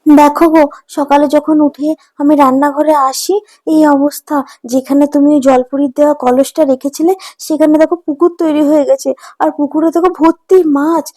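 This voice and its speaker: Woman as an astonished, high-voiced village wife. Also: flat delivery, normal speed, dark tone, soft background music, close to an everyday voice. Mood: amazed. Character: fisherman's devout, easily astonished village wife and mother. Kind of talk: cartoon voice acting.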